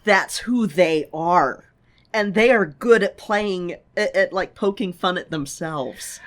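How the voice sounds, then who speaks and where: A woman's voice: lowish voice, slow pace. A woman co-hosting a podcast in casual comedic chat, playful and blunt in how she talks. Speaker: podcast co-host.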